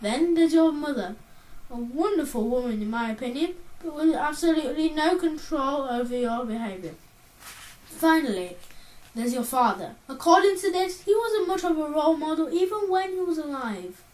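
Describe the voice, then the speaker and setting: A woman voicing a calm, pointed adult male psychiatrist who asks measured, probing questions, in a storybook reading. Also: high voice, pitched above the performer's own voice. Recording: roomy, distant mic.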